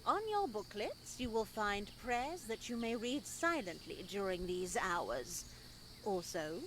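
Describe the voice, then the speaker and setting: A woman playing a stern, preachy nun in a visual novel, speaking formally and with measured care over faint noise. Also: medium pitch. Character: stern, sanctimonious nun lecturing two young women in her care. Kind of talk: dramatic visual novel voice acting.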